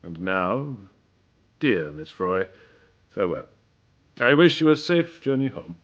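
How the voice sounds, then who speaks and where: An actor playing a suave, courtly prince in a radio drama, formal and graciously authoritative. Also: male voice, on the low side, big pitch swings, takes it slowly, muffled recording, heavily acted. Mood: smooth, firm.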